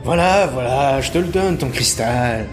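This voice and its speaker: A man's voice: medium pitch, expressive, a little slow, natural voice. A man playing a small, cunning young thief, hesitant and cheeky, in an audio adventure drama. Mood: playful, cheeky. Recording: loud music behind the voice.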